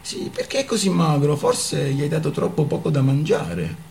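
A man's voice: a little high, expressive, moderate pace, vocal fry, darkish tone, a little hiss or hum, pitched above the performer's own voice. A man reading an audiobook and voicing a weary man who speaks tersely, at times pleading. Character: weary man, gruff but affectionate.

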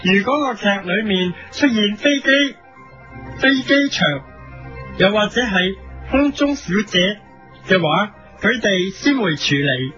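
A man with a high voice and a Hong Kong Cantonese accent reads satirical commentary like a newscaster. The recording is lo-fi radio, and music plays clearly behind him. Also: expressive, takes it slowly, pushed and bright. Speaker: host of a parody editorial programme. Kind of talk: satirical scripted commentary in mock news style.

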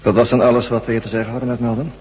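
An actor playing a menacing blackmailer in a radio drama, giving curt, commanding threats. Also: male voice, medium pitch, little pitch movement, rapid-fire, darkish tone, slightly rough, natural voice. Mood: cold, threatening. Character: menacing male blackmailer issuing cold ultimatums. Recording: dull, long reverb, faint hum.

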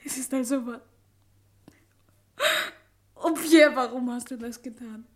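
A woman's voice: a little high, unhurried, darkish tone, lightly acted. An actress playing a distraught, devoted woman with an emotional, dramatic manner in a vintage radio crime play. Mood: hurt, shaken. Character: distraught, devoted woman pleading with her lover.

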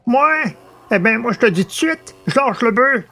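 A man's voice: very high voice, expressive, normal speed, gravelly, sharp tone, pitched above the performer's own voice, exaggerated voice. A man voicing a wary, blunt hired farmhand with folksy, colloquial speech in an audiobook tale. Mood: alarmed, blunt. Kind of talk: audiobook character dialogue.

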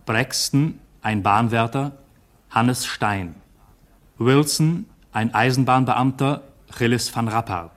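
Slow, formal male radio announcer. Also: medium pitch. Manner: measured, formal. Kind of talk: formal scripted cast announcement.

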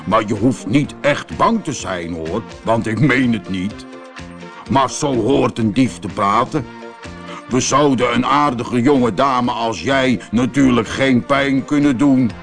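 A man playing a boastful, naive but soft-hearted thief in a children's fairy tale, with mock menace. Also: medium pitch, slow, gravelly, faint music behind. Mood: mock threatening. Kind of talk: storybook character voice.

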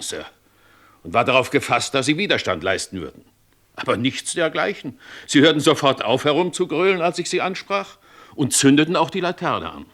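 An actor playing a dutiful, respectful river police constable, giving a measured, deliberate report in a German radio crime drama. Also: male voice, mid-range voice, a bit bright. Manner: deferential, measured witness report.

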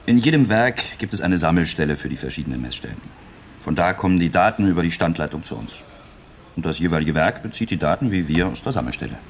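Low, lively, roguish technician. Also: male voice, fast, slight background noise. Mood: wry, explaining. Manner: wry, teasing, chatty. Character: roguish, clever male computer-centre technician, playful tinkerer. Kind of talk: radio play dialogue.

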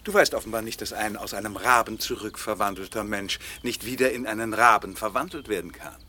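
An actor playing a scheming long-lost uncle, a boastful magician, smooth-talking and condescending, in a 1960s German radio play. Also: male voice, lowish voice, a little fast, bright, forceful tone. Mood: calculating. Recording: noisy 1960 radio play recording.

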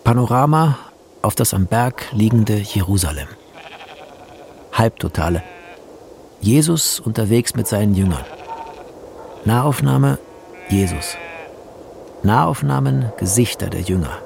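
A man narrating a radio drama, reading out film scene directions in a terse, deliberate, descriptive manner. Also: fairly low pitch, expressive, gravelly, a little mellow, slight background noise.

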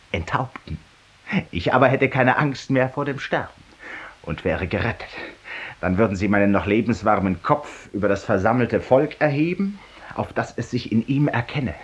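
An actor in a 1950s German radio drama playing a cynical, self-accusing former lawyer who confides in a stranger with theatrical fervour and hush, in a husky voice. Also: male voice, on the low side.

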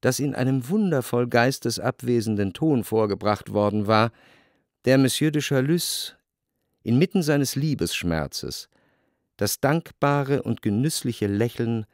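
An actor reading scripted literary narration as the narrator of a radio play. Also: male voice, medium pitch, animated, a little slow, no echo, clean studio sound. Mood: measured.

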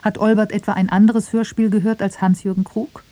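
A woman's voice: mid-range voice, little pitch movement, fairly quick, mellow, no echo. A woman narrating a scripted radio feature in an argumentative style. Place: dry radio studio.

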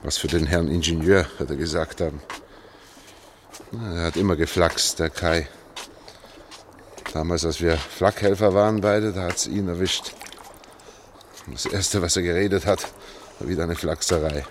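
A man plays an engineer fleeing underground in a radio play. His voice sits low, and he comes across as tense yet protective. A faint layer of noise runs behind him. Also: normal speed, pauses often, natural voice. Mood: reminiscing. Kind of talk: German radio play acting.

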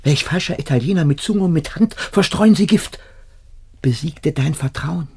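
An actor playing a loyal servant, anguished and indignant, declaiming in a classical radio drama. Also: male voice, on the high side, moderate pace, darkish tone, slightly rough. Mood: shocked, troubled. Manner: agonised, declamatory, swinging into whispers. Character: loyal male servant, anguished and indignant at his master's order.

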